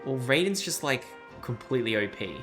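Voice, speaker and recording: A man's voice: medium pitch, lively intonation, fairly quick. A man in casual game stream chat, talking bluntly and informally, with some swearing. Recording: game music loud behind. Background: video game music.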